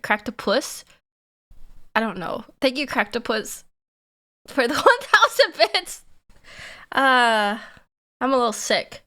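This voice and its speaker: A young woman streams live in a loose, giggly, self-teasing way. A cold leaves her voice hoarse, stuffy and full of gravelly fry, sitting a little above the usual pitch for a woman. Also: pushed and bright. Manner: playful and giggly, teasing herself. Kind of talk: casual live-stream chat.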